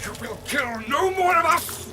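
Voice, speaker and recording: A man's voice: extremely high pitch, expressive, normal speed, loud music behind the voice. A man playing a formal, officious alien hive-mind creature in an audio drama. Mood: commanding, desperate. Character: rigid, officious alien hive-mind creature, grief-stricken when harmed.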